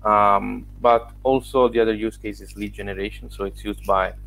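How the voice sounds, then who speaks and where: Gravelly-voiced man explaining. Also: on the low side, fairly even intonation, normal speed, a bit bright, low-quality recording. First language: Italian. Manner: explanatory, walks through examples step by step. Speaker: guest, software founder. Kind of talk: casual interview answers.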